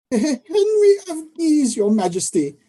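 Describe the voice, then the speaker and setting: Court messenger, played by a woman: slow, high, swooping voice, nervous, deferential, halting, period audio play. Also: sing-song, warm tone, full character voice. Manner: hesitant, halting. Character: nervous, deferential royal servant bearing a message. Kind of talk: period audio drama acting.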